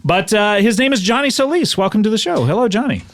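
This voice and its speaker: A man hosting a comedy podcast, making quick, playful interjections into a studio microphone. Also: high-pitched, expressive, dry recording. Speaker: podcast host. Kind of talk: improvised comedy podcast chat.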